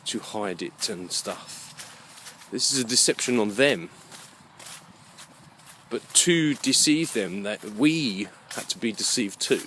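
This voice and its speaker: A man giving a rambling, unscripted monologue in a halting, musing way, often repeating his phrases. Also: on the low side, lively intonation, sharp tone, a little hiss or hum. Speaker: vlogger.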